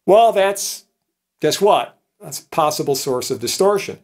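A man's voice: fairly high pitch, animated, a little slow, darkish tone. A man in his sixties, a professor explaining things informally, in a conversational way with frequent checking tag questions. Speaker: professor, lecturer. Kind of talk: informal explanatory electronics lecture.

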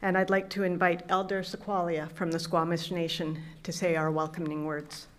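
A woman's voice: lowish voice, level tone, normal speed. A middle-aged woman moderating an evening talk, giving a polished, welcoming introduction at a podium microphone on a stage. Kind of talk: formal event introduction. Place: stage before an audience. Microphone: podium mic.

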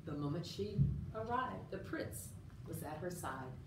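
A middle-aged woman reading a children's picture book aloud in an expressive, measured storytelling style. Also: on the low side. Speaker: storyteller at a library. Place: indoor room with story sign. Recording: roomy, distant mic, faint room noise.

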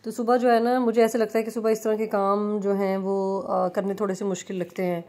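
A young adult woman chatting through a home cleaning vlog as a relaxed voice-over, full of fillers and restarts. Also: medium pitch, a little fast. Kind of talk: casual voice-over narration. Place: home kitchen.

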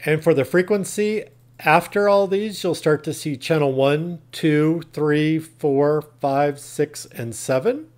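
Male tutorial narrator, pitch a little above average, plain methodical step-by-step instruction. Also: slow pace, darkish tone. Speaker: tutorial host.

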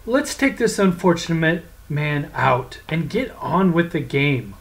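A storyteller voicing a scheming male devil who flatters and then begs in a raised, pleading voice. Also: mid-range voice, measured pace. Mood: wheedling. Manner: wheedling, then whining.

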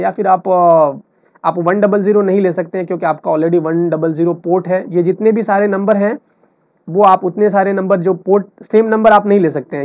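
A man explaining technical steps in an unscripted tutorial, going over each one repeatedly and mixing in English terms. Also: sits high. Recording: dull lo-fi voice-over.

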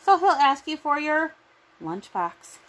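A woman's voice: high-pitched, very animated, takes it slowly, a bit bright, low-quality recording. A middle-aged woman reading a children's picture book aloud. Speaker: children's story reader.

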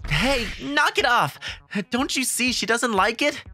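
An awkward teenage movie nerd from a manga dub, acted by a narrator. He stammers and gets flustered easily, his bright voice jumping up high. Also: lively intonation, unhurried, no echo. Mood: indignant.

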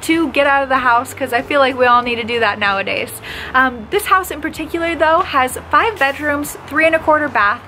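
Bubbly high-voiced woman. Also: bright, forceful tone, a little hiss or hum. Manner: bubbly, enthusiastic, gushing. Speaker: real estate agent. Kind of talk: upbeat house tour vlog. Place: inside a large empty house. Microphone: handheld camera at arm's length.

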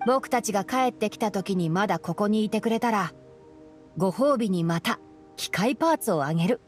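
A woman playing a young male forest ranger in a Japanese anime game, calm and studious, explaining things in a measured way. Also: mid-range voice, faint music behind, natural voice. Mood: gently firm. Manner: measured, matter-of-fact explaining.

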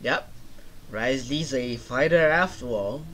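A young man giving casual reaction commentary in a halting, hesitant way, repeating words as he searches for the next one. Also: mid-range voice, fairly bright tone, a little hiss or hum. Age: young adult. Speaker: reaction video host. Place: small room indoors.

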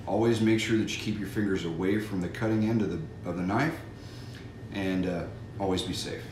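A man's voice: deep voice, normal speed, darkish tone. A middle-aged man gives a folksy, instructive cooking demonstration with safety tips, speaking to kids and parents in a home kitchen. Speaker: fire safety educator. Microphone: camera mic from across the room. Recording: echoey kitchen, mic far off, audible noise.

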